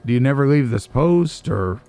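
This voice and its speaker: A man hosting a let's play with casual, musing commentary, as if thinking out loud to himself. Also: medium pitch, animated, moderate pace, soft background music. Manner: musing, nitpicking, talks to himself.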